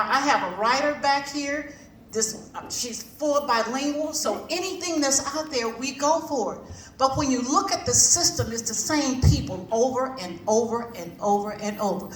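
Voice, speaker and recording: A woman's voice: on the high side, fairly bright tone. A woman giving an informal, colloquial talk to a group, emphatic and repeating phrases for effect. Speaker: community organisation representative. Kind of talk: informal public address to a group. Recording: echoey room, distant mic, background noise.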